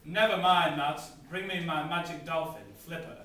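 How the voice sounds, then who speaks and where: A man playing a gruff, bossy giant koala who barks blunt orders in an amateur comic puppet show. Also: a little high, steady tone, normal speed, sharp tone. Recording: echoey room from far off, faint noise.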